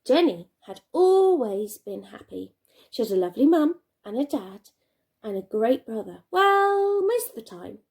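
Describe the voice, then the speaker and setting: A woman reading a children's storybook aloud like a primary teacher, expressively, with dramatic pauses and emphasis. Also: fairly high pitch, very animated, darkish tone.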